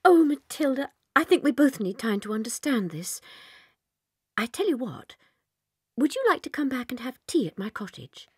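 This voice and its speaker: An actress playing a gentle, kindly young schoolteacher with an English accent in a dramatized children's audiobook. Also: female voice, sits high, sing-song, moderate pace, husky, no echo, studio quality, heavily acted. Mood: kindly, encouraging.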